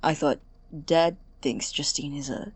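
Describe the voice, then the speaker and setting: A woman playing an anxious, guarded college-age young man in an audio drama, speaking haltingly and apologetically. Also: deep voice, husky, full character voice. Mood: nervous, apologetic. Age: young adult. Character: awkward, anxious young male college student, studious and guarded. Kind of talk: naturalistic audio drama acting.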